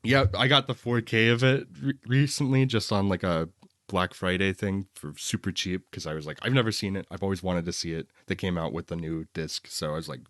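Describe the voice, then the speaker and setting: Deep-voiced man co-hosting a podcast. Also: steady tone, a little fast, fairly bright tone, dry recording, clean studio sound. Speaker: podcast co-host. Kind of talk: casual film review discussion.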